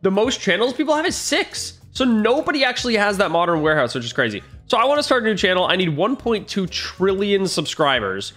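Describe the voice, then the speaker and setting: Excitable young man gaming commentary. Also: a little high. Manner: excitable, jokey, fast reactions. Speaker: gaming YouTuber. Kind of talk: casual gameplay commentary. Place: home gaming room, indoors. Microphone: headset mic.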